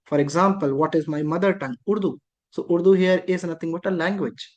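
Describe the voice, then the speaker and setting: Instructor, patient and repetitive, mid pitch. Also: fairly even intonation, brisk, highs cut off. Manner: patient, repetitive explaining with frequent rhetorical questions. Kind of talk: explaining a lesson.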